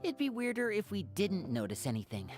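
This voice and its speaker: A gently wry young woman. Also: mid-range voice, wildly swooping pitch, brisk. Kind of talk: game cutscene dialogue.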